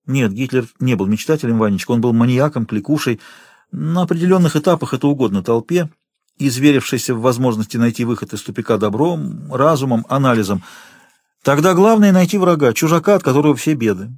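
A man's voice: medium pitch, expressive, a little fast, a little mellow, no echo. A male narrator reads as a principled mother, earnest and didactic with her grown son. The audiobook sound is close and dry. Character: reasonable, principled middle-aged or older mother, lecturing her son.

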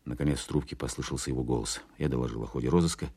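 Very deep-voiced wartime narrator. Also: male voice, fairly even intonation, rapid-fire, a little mellow, breathy edge. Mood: reflective. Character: reflective male wartime counterintelligence captain narrating his story. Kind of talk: first-person dramatised narration.

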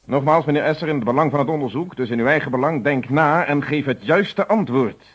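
A formal, authoritative police inspector in a detective radio play. He presses his questions firmly and insistently. The sound is husky and muffled, like a dull old broadcast. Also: on the high side, a little fast. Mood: stern, pressing. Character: stern, probing police inspector leading an interrogation.

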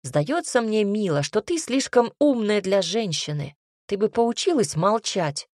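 Chatty young hunter, teasing and cheerful, read by a woman, dry close sound, mid pitch. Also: animated, measured pace, darkish tone, no echo. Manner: bantering, teasing.

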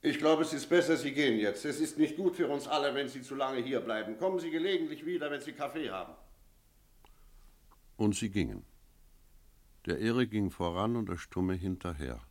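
An actor playing a sober village innkeeper who tells an eerie tale in a measured, reflective radio drama style. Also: male voice, medium pitch, lively intonation, no echo, natural voice. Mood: puzzled, brusque, then brooding. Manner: measured, reflective, literary storytelling. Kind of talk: radio drama narration and dialogue.

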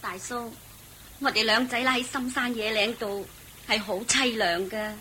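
A meek, plaintive mother on the road in a Hong Kong Cantonese radio play. Her voice is highish and clear-edged, humbly courteous, on a noisy recording. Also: fairly even intonation, a little slow, pushed and bright. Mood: pleading. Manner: polite, plaintive. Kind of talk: Cantonese radio drama acting.

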